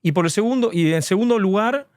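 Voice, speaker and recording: A man's voice: high voice, lively intonation, quick pace, darkish tone, no echo, studio quality. A man, an immigration lawyer, answering interview questions earnestly and at length, with frequent fillers.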